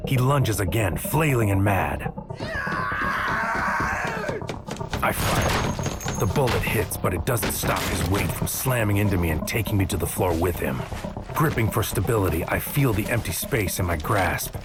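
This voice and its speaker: Brooding, low-voiced investigator narrator over music. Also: male voice, lively intonation. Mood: urgent, tense. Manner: brooding, literary, measured. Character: wary noir-like mind-infiltrating investigator narrating his mission, male. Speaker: narrator and lead character. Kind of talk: first-person scripted audio drama narration, present tense.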